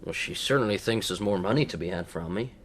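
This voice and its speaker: A man's voice: sits low, a bit bright. An actor playing a tense, evasive man who has been swindled, in a radio drama. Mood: subdued. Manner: tense, halting. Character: swindled man, nervous, evasive, turning menacing.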